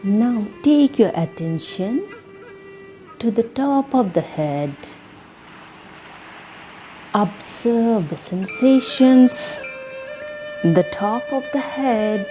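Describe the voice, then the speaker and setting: Very slow, mellow woman guiding meditation. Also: a little high, animated, poor audio. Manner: soothing, gentle instruction with long pauses. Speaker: yoga meditation guide and channel host. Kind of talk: guided relaxation meditation. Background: soft instrumental music.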